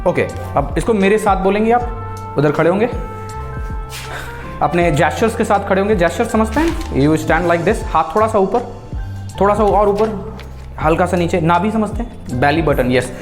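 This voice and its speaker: A man's voice: high voice, expressive, normal speed. A man with an Indian accent teaching public speaking to a class, instructive, emphatic and encouraging, switching between Hindi and English. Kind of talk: classroom lesson with demonstrations. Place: classroom in front of students. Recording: roomy echo with faint music.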